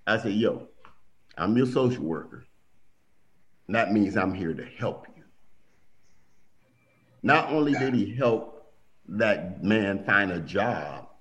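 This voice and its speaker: A middle-aged man recounting family history in relaxed conversation. His voice sits low. He livens up and jumps in pitch whenever he acts out what someone said. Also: dull audio. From Milwaukee. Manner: animated storyteller, acts out quotes with big pitch jumps. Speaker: guest telling family stories. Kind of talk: casual storytelling conversation.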